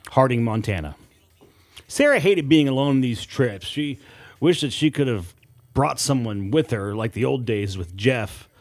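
Guy reading a horror audiobook, medium pitch, dramatic and deliberate with pauses for effect. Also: expressive. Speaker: audiobook narrator and author. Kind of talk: scripted horror audiobook narration.

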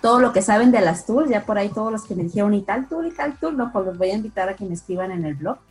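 A woman's voice: mid-range voice, expressive, quick pace, darkish tone, soft background music. A woman co-hosting a casual group webinar, talking in a chatty, emphatic way. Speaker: webinar co-host, software tester.

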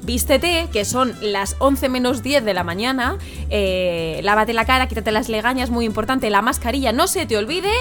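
A woman's voice: a little high, animated, normal speed, a bit bright, soft background music. A middle-aged woman from Madrid, hosting live morning radio and teasing her guest, laughing often. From Madrid, Spain. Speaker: radio host.

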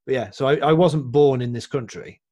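A man with a Redditch accent, hosting a casual chat and talking in an animated, easily amused way. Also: mid-range voice, a little fast, a little hiss or hum. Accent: Redditch, West Midlands. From Redditch, England. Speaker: host.

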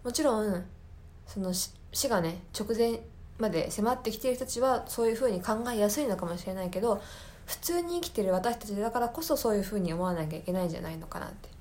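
A woman hosting a casual solo radio show, speaking earnestly and often pausing to search for words and rephrase. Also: mid-range voice, expressive, darkish tone. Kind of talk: casual monologue, recommending a favorite film to listeners. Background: background music.

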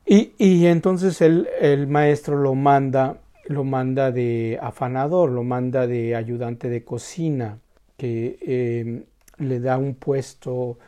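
A man telling a Zen story in a casual, halting way, full of restarts and tag questions. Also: mid-range voice, mellow.

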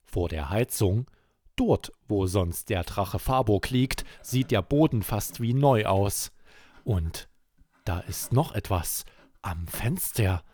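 A man hosting a children's podcast, narrating a scripted bedtime story in an expressive, deliberate way. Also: lowish voice.

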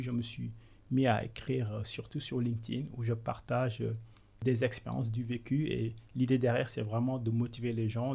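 A man's voice: medium pitch, vocal fry, darkish tone, husky. A man in his thirties from Senegal, a podcast guest telling his career story in an earnest, motivational way. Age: 30s. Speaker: podcast guest, business consultant. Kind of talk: conversational interview.

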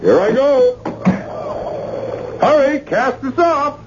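A man's voice: very high voice, animated, takes it slowly, heavily acted. An actor playing a roguish West Indian island boatman in a lilting, folksy dialect in a 1970s radio drama. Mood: kindly, brisk. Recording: dull noisy old radio recording.

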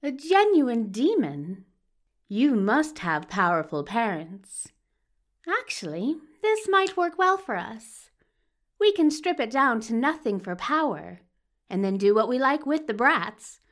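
A woman's voice: a little high, very animated, slow pace. A woman voicing a menacing, contemptuous male villain doctor with a dangerous, commanding manner in an audio drama. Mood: scornful, calculating. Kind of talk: audio fiction acting.

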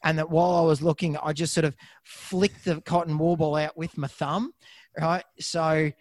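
Squeaky-voiced man, chatty. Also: normal speed, no room sound. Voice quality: slightly squeaky voice. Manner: animated, chatty storyteller with many restarts. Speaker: veterinarian podcast co-host. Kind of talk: casual chat.

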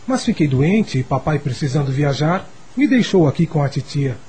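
An actor playing a lonely, sickly rich schoolboy in a Brazilian radio drama, confiding in a childlike way, with a Brazilian accent and a husky voice. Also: male voice, a little high, sing-song, moderate pace, mellow, full character voice. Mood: confiding, wistful.

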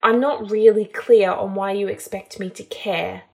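A woman's voice: mid-range voice, steady tone, unhurried, gravelly. Woman acting a cold, disdainful young wizard man. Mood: cold, taunting. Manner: snarling, taunting, curt. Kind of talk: dramatised audiobook narration.

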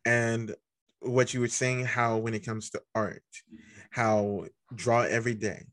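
A man hosting a podcast in relaxed, casual conversation, with a very deep voice and easy laughter. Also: dry recording. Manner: relaxed, laughs easily, halting restarts. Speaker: podcast host.

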